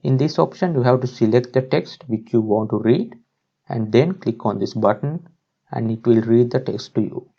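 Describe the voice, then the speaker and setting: Man with dull-sounding, creaky tutorial voice. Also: mid-range voice, moderate pace. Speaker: tutorial presenter. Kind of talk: instructional screen-recording narration.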